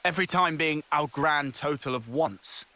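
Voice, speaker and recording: A man's voice: on the high side, normal speed, pushed and bright. A man voicing an easygoing, teasing young male soldier in a video game. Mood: dry teasing. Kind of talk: video game cutscene dialogue.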